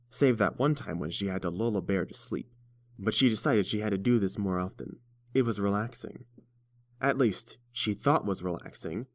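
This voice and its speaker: A man's voice: fairly low pitch, dry recording. A man reading scripted fiction aloud as the narrator of an audiobook.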